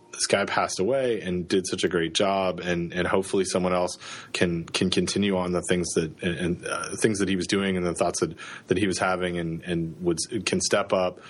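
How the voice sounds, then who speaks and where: A man co-hosting a podcast in casual chat, halting with many fillers and restarts, sniffling from a cold, close to the microphone. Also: low-pitched. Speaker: podcast co-host. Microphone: close studio microphone.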